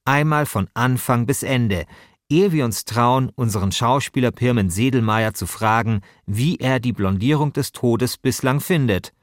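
A man's voice: medium pitch, a little slow, dry recording, studio quality. A man hosting a podcast with scripted narration. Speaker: host.

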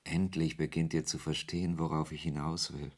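Author-narrator, very low pitch, husky, measured, literary, reflective, radio drama narration. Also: male voice, level tone, a little mellow. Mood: knowing, confiding. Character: reflective, knowing male author narrating his own tale.

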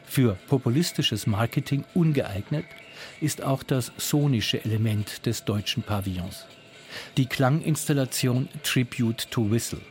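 A man reporting as a culture journalist, reading a scripted radio feature in a measured, descriptive way. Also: medium pitch, darkish tone, faint music behind.

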